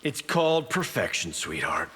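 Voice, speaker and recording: An actor playing a smug, flippant celebrity fighter showing off in a fighting game. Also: male voice, lowish voice, big pitch swings, normal speed, pushed and bright, a little hiss or hum. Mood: showy, smug. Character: flashy, smug American celebrity fighter, show-off. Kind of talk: video game fight banter.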